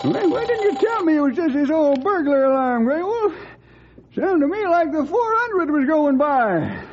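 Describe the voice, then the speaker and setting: An actor playing an excitable, folksy old cowboy with a drawl in an old time radio drama. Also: male voice, very high voice, normal speed, muffled recording, slight background noise. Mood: startled, groggy.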